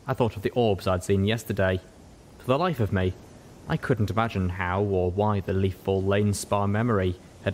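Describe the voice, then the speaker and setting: A man's voice: low voice, a little hiss or hum. A man reading first-person fiction aloud as a scripted audiobook narration. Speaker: audiobook reader.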